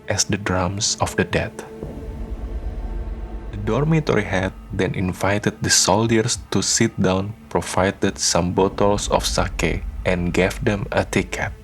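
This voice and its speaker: A man narrating a scripted radio drama in a solemn, measured storytelling manner. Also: low-pitched, low-quality recording.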